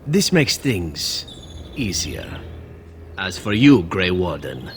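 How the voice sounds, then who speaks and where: An actor playing a suave, taunting elven assassin in a fantasy video game. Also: male voice, low voice, very animated, slow pace, slight background noise, heavily acted. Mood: calm menace. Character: suave male elven assassin turning on his companion.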